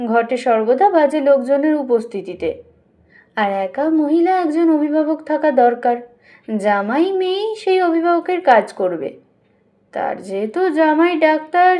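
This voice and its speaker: A woman with a high voice narrates a literary audiobook. She reads scripted prose expressively, as a storyteller would. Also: mellow. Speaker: audiobook reader.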